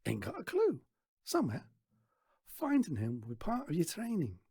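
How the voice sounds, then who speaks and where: A man's voice: high voice, huge pitch swings, moderate pace, gravelly, dark tone, breathy edge, no room sound, clean studio sound, voice pushed up, full character voice. A man voicing a folksy, patronising fairground stallholder who mentors a boy in a storybook reading. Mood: offhand. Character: brisk, exasperated older fairground stallholder mentoring a lad.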